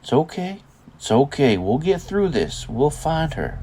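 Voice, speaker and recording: A man's voice: fairly high pitch, sing-song, a little slow, dark tone. A man playing a tense, worried husband and father in an audio drama, terse and subdued. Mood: weary, reassuring. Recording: clearly noisy background.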